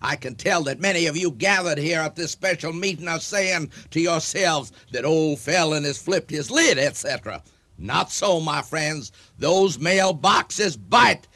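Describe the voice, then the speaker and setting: Casting: bombastic vigilante chief, high-set gravelly voice, pompous orator of radio comedy. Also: male voice, animated, unhurried, sharp tone, exaggerated voice. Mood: pompous, indignant. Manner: pompous speechifying, barking commands. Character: bombastic, paranoid male leader of a vigilante club. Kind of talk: comic radio drama acting.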